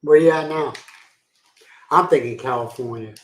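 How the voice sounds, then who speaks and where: A man's voice: mid-range voice, normal speed, reverberant. A man from Pennsylvania hosting a casual live-stream chat, rambling and self-deprecating, laughing easily. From Pennsylvania, USA. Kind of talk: casual chat reading viewer comments. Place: home in the Philippines.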